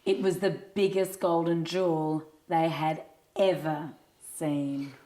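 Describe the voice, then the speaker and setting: A woman narrating a scripted children's story in an expressive, measured reading style. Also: low voice. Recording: roomy, echoing sound.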